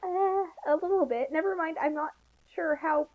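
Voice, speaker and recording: High-voiced woman, slightly muffled, joking commentary. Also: normal speed. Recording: slightly dull lo-fi voice channel.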